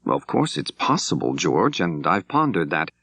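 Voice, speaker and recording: A man voicing a level-headed law professor turned amateur sleuth in an audiobook, with direct, measured questioning. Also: sits low, very animated, sharp tone, no echo, heavily acted. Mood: probing, calm.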